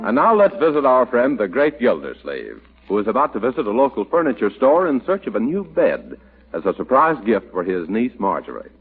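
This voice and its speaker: A man's voice: medium pitch, big pitch swings, a little fast, faint music behind. An American man announcing and reading sponsor copy in polished 1940s radio style. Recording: tinny, rough vintage radio broadcast.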